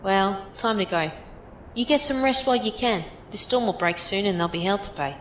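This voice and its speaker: A woman's voice: animated, fairly quick, a bit bright, natural voice. A woman playing a plainspoken, philosophical survivor in an audio drama, giving encouraging, matter-of-fact advice. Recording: roomy echo, faint background rumble.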